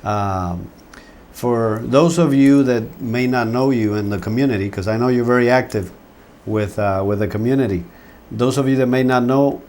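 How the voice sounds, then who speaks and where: A man hosting a local arts interview show, talking in a relaxed way with frequent fillers. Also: on the low side. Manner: friendly, relaxed with filler words. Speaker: host. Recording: faint background noise.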